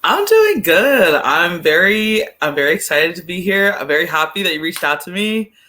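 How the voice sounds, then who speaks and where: A woman with a bright, forceful voice speaks as a podcast guest. She is chatty and informal, peppering her sports talk with "like". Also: lowish voice, big pitch swings, normal speed. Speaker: podcast guest, soccer fan. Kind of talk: casual sports chat.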